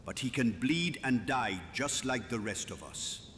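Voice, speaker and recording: Resolute male courtier, acted, medium pitch with lively swings, hushed urgency, reverberant hall. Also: unhurried, slight background noise. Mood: urgent warning.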